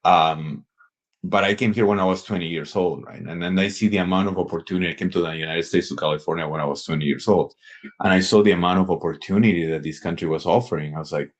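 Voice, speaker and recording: A man's voice: low voice, steady tone, fast. A middle-aged man from Peru with a Peruvian accent, a guest giving earnest, reflective advice in a casual interview. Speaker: sales executive guest.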